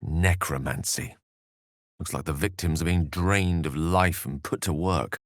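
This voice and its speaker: A man's voice: a bass voice, a little slow, dry recording, studio quality. An actor playing an eloquent, learned wizard in a video game, articulate and bookish, wry and earnest in his florid phrasing. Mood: wry, composed. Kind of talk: scripted video game character dialogue.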